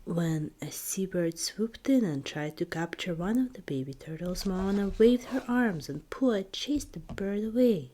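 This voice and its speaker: A woman reading a children's story in ASMR style, in a soft, breathy whisper with deliberate, expressive delivery. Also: mid-range voice. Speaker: storybook reader, ASMR creator.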